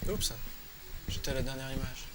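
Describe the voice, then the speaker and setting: A man's voice: mid-range voice, steady tone, quick pace, poor audio. A man giving a casual, improvised tutorial, thinking aloud informally as he works. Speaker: drawing tutorial presenter. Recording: music playing behind the voice. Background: loud music.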